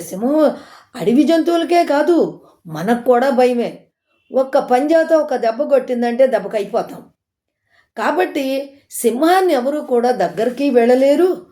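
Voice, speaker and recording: A woman's voice: on the high side, mellow. A woman telling a moral story informally, in an explanatory, conversational way that repeats points for listeners.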